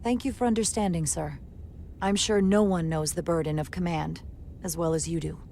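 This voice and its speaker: An actress playing a confident, principled starship first officer in a video game, composed, assertive and formal. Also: female voice, fairly low pitch, steady tone, normal speed, gravelly, mellow, slight background noise. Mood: composed, respectful. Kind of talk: dramatic video game acting.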